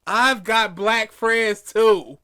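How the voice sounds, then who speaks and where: A man with a high, bright, forceful voice who fires off one incredulous rhetorical question after another. He sounds like a co-host in a casual commentary podcast. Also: extremely slow, smooth.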